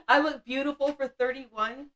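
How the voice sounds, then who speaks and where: Woman, 50s, livestream host; chatty, blunt, self-mocking, quick to laugh. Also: high voice, a bit bright. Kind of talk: casual livestream chat reading the chat.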